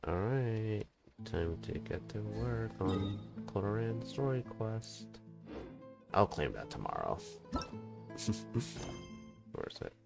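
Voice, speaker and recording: A man's voice: lowish voice, big pitch swings, slow, vocal fry, long pauses, over music. A man chatting casually on a gaming livestream, talking in slang and swearing freely. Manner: slangy, swearing, scat-singing to himself. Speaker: game streamer.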